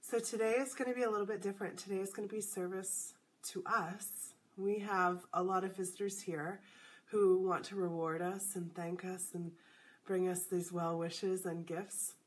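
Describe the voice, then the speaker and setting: A woman hosting a casual spiritual livestream, a little congested, talking in a soft, hesitant, slightly nervous way. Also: on the low side, fairly even intonation, moderate pace. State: congested, voice deeper than normal. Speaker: livestream host.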